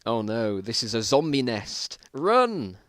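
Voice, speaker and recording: A man's voice: mid-range voice, very animated, slow pace, no room sound, studio quality. A man voicing panicky male survivors of a zombie apocalypse, barking urgent, exclamatory lines in an amateur story reading. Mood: alarmed.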